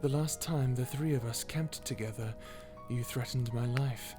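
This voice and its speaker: Edgy male vampire, played by a woman: deep for the performer, smug drawl, dry sarcasm, audio drama. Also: moderate pace, music in the background. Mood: incredulous, sarcastic. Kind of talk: character audio roleplay.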